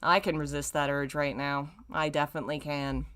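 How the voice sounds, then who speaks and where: A young woman with a crisp, bright voice that sits a little low reads a story aloud. She plays it up theatrically and breaks into casual, mocking commentary in an exasperated, playful way. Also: level tone, brisk. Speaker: host reading old fan fiction aloud with commentary. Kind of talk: dramatic read-aloud with mocking, casual asides.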